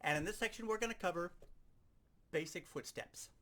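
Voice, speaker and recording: A man's voice: high-pitched, moderate pace, pushed and bright. A man giving an instructional demonstration as a sound effects teacher, explaining things step by step and repeating key points.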